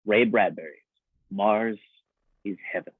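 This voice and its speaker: A man's voice: on the low side, a little slow, background noise. A man hosting and announcing a live old-time radio show. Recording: slightly dull, dry sound.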